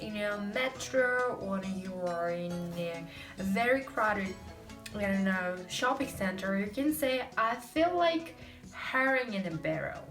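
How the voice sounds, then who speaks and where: A woman's voice: medium pitch, lively intonation, takes it slowly, fairly bright tone, reverberant, far from the mic, faint music behind. A young woman with a Russian accent, hosting a casual language lesson, explaining chattily with many fillers. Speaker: host.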